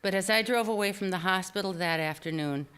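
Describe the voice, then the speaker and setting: A woman reading her own personal essay aloud at a live storytelling event, with expressive, deliberate phrasing. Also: mid-range voice, clean tone. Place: small theater stage, live reading before an audience.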